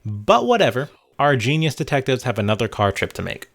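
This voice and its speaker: A man's voice: medium pitch, big pitch swings, fairly quick, gravelly. A man giving scripted commentary on a video game in a conversational, sarcastic way. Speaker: video essay narrator.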